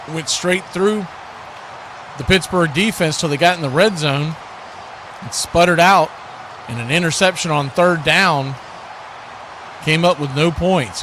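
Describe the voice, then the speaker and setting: A man's voice: fairly high pitch, background noise. A man doing live football radio play-by-play in short, clipped, conversational calls. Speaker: play-by-play announcer.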